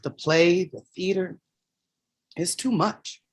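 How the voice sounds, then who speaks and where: A woman's voice: lowish voice, takes it slowly, husky. Woman acting a weary, blunt mother. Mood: weary, irritated. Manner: blunt, commanding. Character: weary, proud mother, playwright at odds with her demons. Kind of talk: staged play reading.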